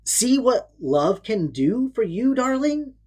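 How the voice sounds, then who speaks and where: A woman's voice: a little high, sing-song, slow pace, a little mellow, husky, pitched above the performer's own voice, full character voice. A woman voicing a brave, lovesick male sea creature in a children's storybook, earnest and dramatic. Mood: eager, affectionate.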